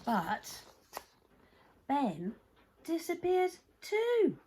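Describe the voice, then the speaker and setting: A woman reading a picture book aloud to young children, expressively, with dramatic pauses and hushed phrases. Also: high-pitched, wildly swooping pitch, slight background noise. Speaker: children's story reader.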